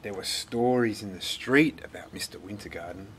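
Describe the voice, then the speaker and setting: A man reading a children's story aloud in a dramatic, deliberate way. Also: on the low side, fairly bright tone, a little hiss or hum. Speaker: storybook reader. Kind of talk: expressive scripted children's story narration.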